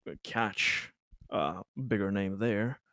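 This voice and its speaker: Male roleplaying player, lower register, conversational and halting as he reasons through options, very dry recording. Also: a bit bright, no echo. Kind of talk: casual game discussion.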